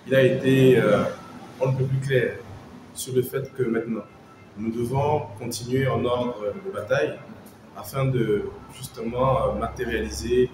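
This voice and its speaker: A man's voice: medium pitch, fairly even intonation, a little slow, roomy sound, background noise. A man making a formal, rallying statement to the camera, urging listeners to join. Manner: formal, exhortative. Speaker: party member interviewed.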